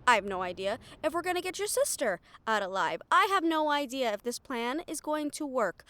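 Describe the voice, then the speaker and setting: A woman playing a wry, foul-mouthed shadow thief in a fantasy audio roleplay, nervous but frank, with dramatic pauses and sighs. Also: fairly high pitch, a bit bright, no echo. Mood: frank, worried.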